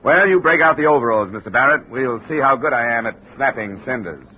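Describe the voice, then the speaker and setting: A dry, wry hardboiled insurance investigator, played by a man in a 1950s radio play. He narrates in a matter-of-fact way, at an ordinary pitch. The sound is thin and phone-like, like an old radio broadcast. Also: lively intonation, brisk, slight background noise, close to an everyday voice. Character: wisecracking hardboiled male insurance investigator, adult. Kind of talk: 1950s radio drama acting with first-person narration.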